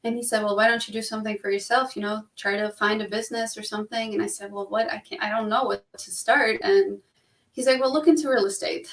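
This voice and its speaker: A woman's voice: medium pitch, steady tone, fast, a bit bright. A young woman from Albania, a guest on a talk show, chatting in a friendly, easygoing way. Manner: friendly, chatty, laughs easily. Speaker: guest, real estate investor. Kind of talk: casual interview chat. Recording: distant, roomy remote call audio.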